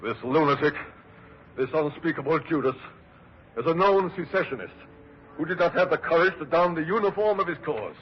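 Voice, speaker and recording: Man playing outraged grieving statesman, higher male voice, emphatic oratory, formal, 1940s radio drama, muffled old recording. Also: expressive, moderate pace, lightly acted. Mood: indignant. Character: indignant, grieving statesman, Secretary of the Navy. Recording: dull, faintly noisy old radio broadcast.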